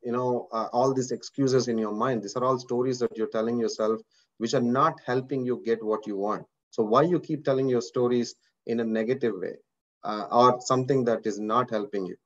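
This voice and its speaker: A man's voice: medium pitch, fairly even intonation, normal speed, dull audio. A man with an Indian accent, a course instructor giving an earnest motivational talk to students on a video call, repeating words and using many fillers.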